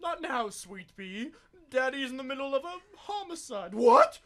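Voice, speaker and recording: A man's voice: very high-pitched, sing-song, moderate pace, fairly bright tone, heavily acted. A melodramatic, hot-tempered dad played by a young man blusters as a factory boss. It is radio comedy, acted broadly and theatrically. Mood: flustered. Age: young adult. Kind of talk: student radio comedy acting.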